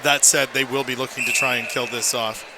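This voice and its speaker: A man's voice: mid-range voice, fairly even intonation, brisk. A man giving opinionated, emphatic color commentary on a live hockey radio broadcast. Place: indoor ice rink. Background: loud rink ambience and noise.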